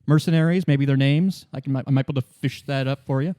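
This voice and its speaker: A man's voice: mid-range voice, fairly even intonation, quick pace, warm tone, no echo, clean studio sound, pitched above the performer's own voice, natural voice. Man doing a sly, flirtatious businesswoman. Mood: coaxing. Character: sly, flirtatious woman, company negotiator.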